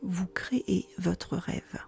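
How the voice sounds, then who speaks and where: A woman leads a guided relaxation in a soft, near-whispered voice. Her delivery is very slow and calm, with a mellow tone and a creaky edge. She gives soothing instructions with unhurried pauses. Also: fairly low pitch. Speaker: yoga nidra guide. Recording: faint music behind the voice.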